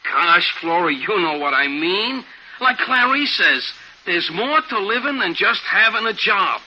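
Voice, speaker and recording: Naive working stiff, eager and jittery, sharp husky voice, muffled golden-age radio comedy-drama. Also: male voice, high-pitched, very animated, a little slow, heavily acted. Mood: excited, proud. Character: naive, eager, jittery working-class man craving culture. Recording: dull old radio recording.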